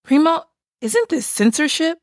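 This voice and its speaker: A woman's voice: high voice, sing-song, a little slow, dry recording, studio quality. A woman playing an earnest, resolute banned book in a theatrical puppet show, speaking matter-of-factly. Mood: earnest, concerned.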